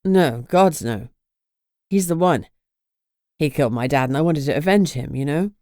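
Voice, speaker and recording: A woman voicing a grieving, angry young man with a British accent who talks in a gruff, bitter way. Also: deep voice, expressive, moderate pace, dark tone, dry recording, clean studio sound, voice pushed down. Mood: anguished.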